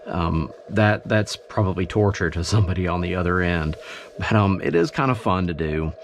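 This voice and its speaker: Low-voiced man over background noise. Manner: halting, thinking aloud as he explains. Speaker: amateur radio hobbyist demonstrating his gear. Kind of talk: casual unscripted demonstration talk. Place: indoors in a home radio shack. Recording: clear steady background noise.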